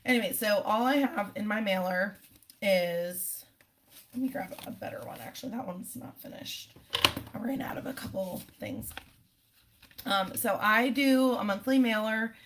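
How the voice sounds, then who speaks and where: A woman losing her voice, chatting casually with many pauses and fillers as she shows how she runs her small business. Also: mid-range voice. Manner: chatty, many ums, clears throat often. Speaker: direct sales consultant.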